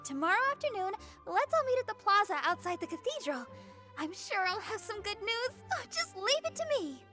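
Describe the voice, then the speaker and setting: A woman voicing a sweet, gentle, helpful teenage idol girl in an anime game, girlish in tone. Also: very high voice, very animated, moderate pace. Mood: confident. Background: game music.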